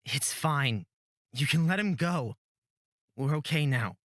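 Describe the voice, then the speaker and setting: A woman's voice: deep voice, animated, slow pace, no echo, clean studio sound, voice pushed down. A woman voicing an earnest, anxious young peacemaker in a fantasy visual novel, speaking with urgency. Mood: reassuring. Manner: pleading, urgent. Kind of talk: visual novel character reading.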